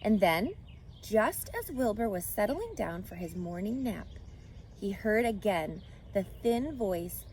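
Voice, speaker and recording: A woman narrating a children's book aloud in an expressive storytelling style. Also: mid-range voice, slow, slight background noise.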